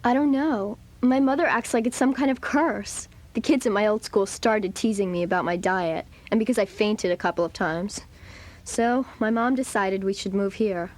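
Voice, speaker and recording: A woman's voice: mid-range voice, normal speed, a little mellow, lightly acted. An actress playing an earnest, self-conscious young teenage girl in a children's audio drama. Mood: vulnerable, relieved.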